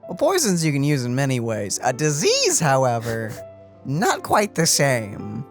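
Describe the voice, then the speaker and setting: A man's voice: medium pitch, huge pitch swings, measured pace. A man doing casual let's play commentary as a streamer, joking and rambling as he goes. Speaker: gameplay streamer. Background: music.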